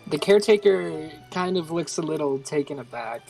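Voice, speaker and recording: A woman's voice: deep voice, lively intonation, moderate pace, gravelly, darkish tone, soft background music, poor audio. A woman playing a tabletop roleplaying game, casually and a little hesitantly describing her character's actions in the third person.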